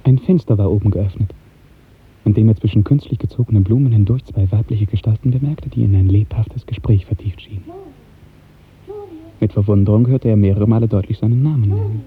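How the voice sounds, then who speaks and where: A man narrating a literary 1950s radio drama in a measured, poetic storytelling manner. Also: fairly low pitch, slight background noise. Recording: muffled narrow 1950s radio recording.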